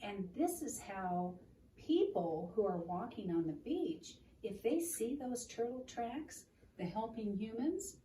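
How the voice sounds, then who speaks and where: A woman reading a picture book aloud to a child and explaining it in a gentle, teacherly way. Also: a little high, animated, slow. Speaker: children's storytime reader. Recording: roomy, distant mic with room noise.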